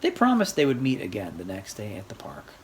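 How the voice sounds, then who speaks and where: An adult storyteller reading a rhyming picture book aloud for children in an expressive, sing-song way. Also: mid-range voice, a little fast. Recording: faint background noise.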